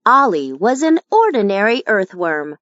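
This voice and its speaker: Slow, expressive woman reading a storybook. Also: medium pitch, dry recording, muffled recording. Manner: gentle, measured storytelling. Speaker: storybook reader. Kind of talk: children's read-aloud narration.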